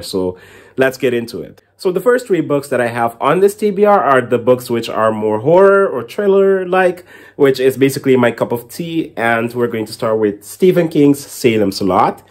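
A man's voice: medium pitch, expressive, normal speed. A young adult man from the Caribbean hosting a book channel, talking casually to camera in a rambling, filler-heavy way. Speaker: book reviewer and channel host. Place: small indoor room at home with bookshelf.

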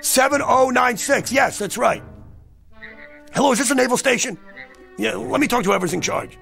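A cynical American man desperate to be believed about coming disaster. His high voice is heavily creaky and swings widely. He is blunt, slangy and insistent, in dramatic screen style. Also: lively intonation, fairly quick, a bit bright, soft background music, heavily acted.

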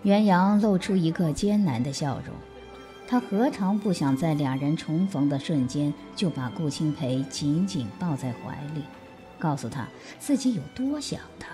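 A woman's voice: deep voice, animated, normal speed, dark tone, soft background music. A woman reading scripted narration for an audio drama.

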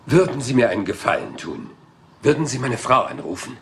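An actor playing a rumpled, humble police inspector in a radio play, talking in a hesitant, rambling and overly polite way. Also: male voice, medium pitch, very animated, fairly quick, creaky, slight background noise. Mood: starstruck. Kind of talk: German radio play acting.

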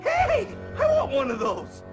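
A man voicing a brash, scheming cartoon boy for a video game, pushy, excitable and nasal. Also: extremely high pitch, measured pace, over loud music, exaggerated voice. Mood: cocky.

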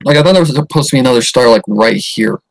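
A man's voice: mid-range voice, expressive, fast talker, creaky, no room sound, poor audio. A man hosting a livestream and chatting casually while playing a game, giggling and reacting to the dialogue. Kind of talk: casual gameplay commentary.

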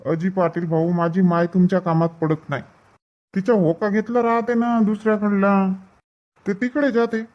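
A man's voice: high voice, flat delivery, moderate pace, close to an everyday voice. Casting: male actor as grumbling cartoon farmhand, rural Vidarbha Marathi accent, drawling village banter, dull lo-fi recording. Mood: grumbling, defensive. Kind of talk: comedic cartoon dialogue. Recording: dull, muffled lo-fi audio.

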